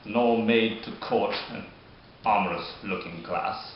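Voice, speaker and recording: A man performing a bitter, scheming deformed nobleman in a modern-style Shakespeare monologue, speaking deliberately with dramatic pauses. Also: on the low side. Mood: bitter, self-loathing. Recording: dull, very echoey room, background hiss.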